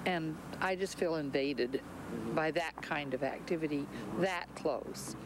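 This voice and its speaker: A woman's voice: low-pitched, unhurried. A woman giving unscripted remarks as a news interviewee. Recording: outdoor interview with traffic noise.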